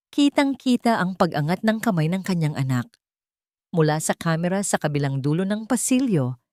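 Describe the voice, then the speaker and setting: A woman's voice: fairly low pitch, mellow, no room sound, clean studio sound. A woman storyteller reading scripted, dramatic narration for an audio drama.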